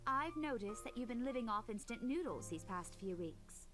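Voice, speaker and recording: Game role: caring young female teacher, high voice, motherly nagging tone, faint music bed. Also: expressive, normal speed, fairly bright tone. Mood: scolding. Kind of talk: visual novel voice acting.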